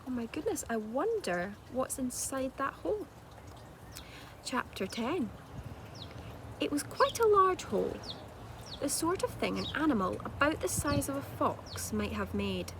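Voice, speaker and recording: A woman narrating a children's storybook like a teacher reading aloud, with measured, dramatic delivery. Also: high voice, sing-song. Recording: clearly noisy home-made recording.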